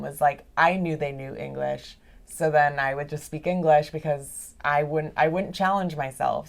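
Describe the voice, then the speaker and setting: A young woman talking casually as a podcast guest, chatty, laughing often and filling her talk with "like.". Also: low voice. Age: young adult. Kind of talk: casual interview chat. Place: video call. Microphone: video call.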